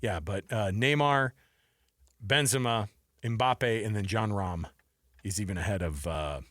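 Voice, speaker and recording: A man's voice: lowish voice, measured pace, fairly bright tone, no room sound. A man co-hosting a sports radio show, talking casually as he runs through lists, close on a studio microphone. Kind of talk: casual sports talk, running a list quiz.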